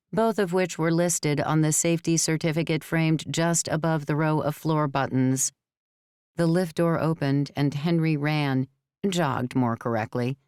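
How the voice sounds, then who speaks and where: Very low, smooth-voiced woman narrator. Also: darkish tone, no echo, clean studio sound. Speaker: audiobook narrator. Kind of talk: scripted novel narration.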